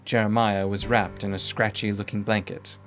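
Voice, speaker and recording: A man's voice: on the low side, level tone, normal speed, soft background music. A man performing scripted first-person narration of a dramatic story.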